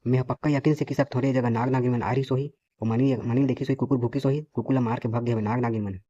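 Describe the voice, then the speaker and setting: A man's voice: medium pitch, fairly even intonation, quick pace, dark tone, close to an everyday voice. A man voicing a bossy, boastful snake-charmer in a comic cartoon, scolding brashly with a Chhattisgarhi accent. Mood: boastful urging. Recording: dull lo-fi audio, faint noise.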